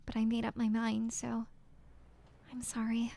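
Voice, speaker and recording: A woman voicing a heartbroken young woman reading out her farewell letter in a halting, apologetic half-whisper. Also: a little high, monotone, vocal fry, dark tone.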